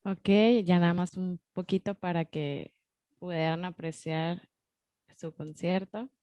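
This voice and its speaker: A woman's voice: on the low side, fairly even intonation, slow, mellow, soft background music. A woman, a teacher moderating a school question session, polite and formal in her thanks. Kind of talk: hosting a school Q&A.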